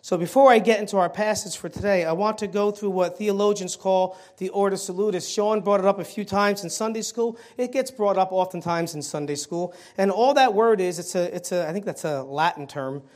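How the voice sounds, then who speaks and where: Lay preacher, man, high-pitched, slightly hoarse, casual sermon delivery that builds on key points. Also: fairly quick, hardly any pauses, darkish tone. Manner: conversational, many fillers and restarts, rising emphasis on key points. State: slightly hoarse throat, clearing it. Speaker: lay preacher filling the pulpit. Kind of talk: evening church sermon. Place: church sanctuary.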